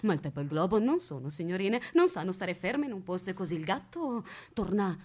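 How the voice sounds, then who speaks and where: A woman's voice: medium pitch, sing-song, a little fast, a little mellow. An actress playing an eccentric, dotty unmarried woman who keeps a captive, speaking with childlike certainty and coy formal politeness in a radio play. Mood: earnest, odd. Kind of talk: theatrical radio drama acting.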